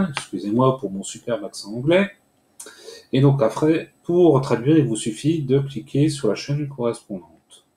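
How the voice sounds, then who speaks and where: A man walking viewers through a screen-recorded software tutorial step by step, pausing often with filler sounds. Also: medium pitch. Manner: hesitant, many "euh" fillers, step-by-step explaining. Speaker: tutorial host. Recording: echoey room with faint noise.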